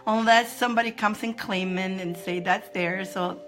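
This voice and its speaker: A woman with a squeaky voice hosting a live spiritual advice stream from home, chatting casually and greeting viewers by name. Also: fairly even intonation, normal speed, loud music behind the voice. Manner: greets viewers by name in high sing-song, laughing often.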